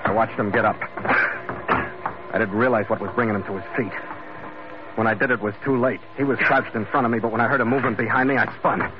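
An actor playing a tough, world-weary investigator in an old-time radio noir, with clipped, dry, wisecracking delivery. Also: male voice, on the low side, steady tone, fast talker, long pauses, close to an everyday voice. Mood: hardboiled narrating. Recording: muffled vintage radio recording, music behind.

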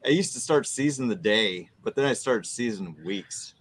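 A man bantering casually, cracking jokes in a deadpan way. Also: lowish voice, normal speed, slightly rough.

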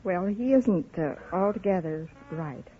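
Lively woman in her fifties, noisy old radio. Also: on the low side, unhurried. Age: 50s. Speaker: quiz show contestant. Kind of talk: unscripted chat on a radio show. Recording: noisy, muffled old radio before audience. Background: studio audience, heavy noise.

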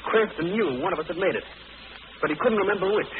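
An actor playing an anxious, dazed gentleman in an old radio melodrama, hesitant and dramatic in his delivery. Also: high voice, animated, quick pace. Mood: urgent, anxious. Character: anxious, dazed clubman haunted by a sudden recollection. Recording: noisy, muffled vintage radio recording.

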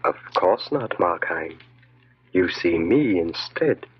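Deep, sinister evil spirit. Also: male voice, expressive, slow pace, breathy edge, no echo, full character voice. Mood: menacing. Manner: commanding, mocking, insinuating. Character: sinister male evil genius, a voice within the mind. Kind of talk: 1940s radio horror acting. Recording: muffled old radio recording.

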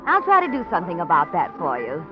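A cool scheming socialite. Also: female voice, on the low side, wildly swooping pitch, fast talker. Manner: cool, cutting, arch. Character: cool, scheming married woman in her affair. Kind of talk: 1940s radio drama acting. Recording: dull old radio broadcast with music.

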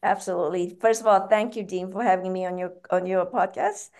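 A woman with a Malaysian accent speaks conversationally as an interview guest. Her mid-pitched voice has a clearly creaky, gravelly edge. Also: steady tone, brisk, a little mellow. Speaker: podcast guest, author and food company founder.

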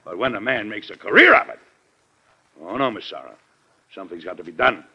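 Stern President, muffled old radio. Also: male voice, fairly low pitch, expressive, a little fast, breathy edge. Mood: upset, exasperated. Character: stern, weary President and exasperated father. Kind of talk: old-time radio drama acting. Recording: dull lo-fi vintage radio recording.

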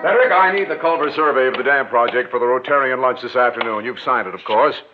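An actor playing a pushy, impatient small-town mayor with a bossy manner, in a 1940s radio drama. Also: male voice, mid-range voice, quick pace, over music, lightly acted. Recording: muffled old radio broadcast.

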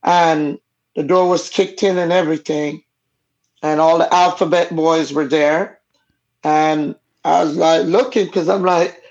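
A woman's voice: low-pitched, fairly even intonation, a little slow, a little mellow. A woman with a Jamaican accent, whose first language is Jamaican Patois, frankly telling her own story as a guest in an interview. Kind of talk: personal storytelling in a casual interview. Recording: dull lo-fi audio.

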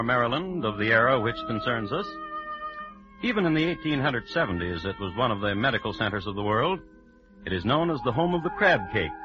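An actor playing a dry, droll crime-story host, narrating in a deadpan, measured old-time radio style on a muffled recording. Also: male voice, mid-range voice, lightly acted.

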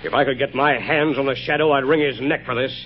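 An actor playing a gruff, impatient police commissioner in 1930s radio drama style. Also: male voice, medium pitch, steady tone, quick pace, a little hiss or hum, close to an everyday voice. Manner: brusque, impatient. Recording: dull 1930s radio broadcast.

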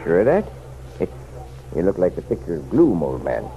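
A man's voice: deep voice, huge pitch swings, normal speed, mellow, heavily acted. An actor in an old-time radio drama playing an insistent, folksy townsman who offers pointed, chummy advice. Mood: chummy, probing. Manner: folksy, chummy, leaning and persuasive. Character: insistent, insinuating townsman, nosy self-appointed helper to the sheriff. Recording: muffled, noisy vintage radio recording.

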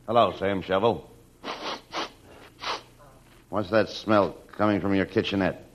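A man playing a tired, gruff, slow-witted police lieutenant in a 1940s radio comedy, drawing out his words wearily. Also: low-pitched, fairly even intonation, background noise. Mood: weary.

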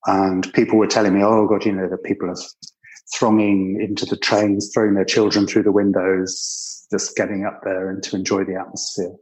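A man's voice: low voice, little pitch movement, normal speed, darkish tone, rough recording. An older man with a British accent recalling his past as an interview guest, in a conversational, anecdotal way. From Britain. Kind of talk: conversational personal reminiscence.

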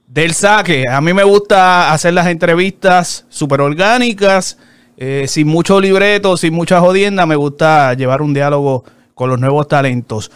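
A man hosting a casual interview show, opening with a lively promo and chatting loosely with his guest. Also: on the high side, smooth, no room sound. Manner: lively, upbeat promo delivery with fast asides.

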